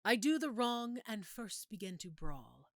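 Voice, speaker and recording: A woman's voice: on the high side, animated, measured pace, no room sound, clean studio sound. A woman playing a scheming, smug villainous nobleman in a theatrical, insinuating Shakespearean monologue. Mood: sly, smug. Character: scheming, villainous nobleman confessing his deceit, sly and smug.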